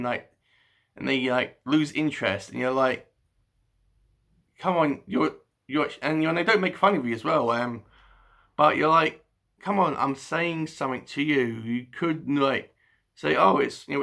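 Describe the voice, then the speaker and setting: A man chatting casually to camera about books at home in the evening, halting with frequent ums, restarts and a slight stutter. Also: medium pitch, vocal fry, pushed and bright. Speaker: book vlogger. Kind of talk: casual unscripted chat to camera.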